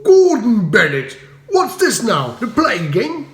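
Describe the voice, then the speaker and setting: A man's voice: high-pitched, huge pitch swings, measured pace, echoey room, a little hiss or hum, pitched above the performer's own voice, exaggerated voice. A man voicing rowdy, indignant Cockney commoners who heckle in angry slang.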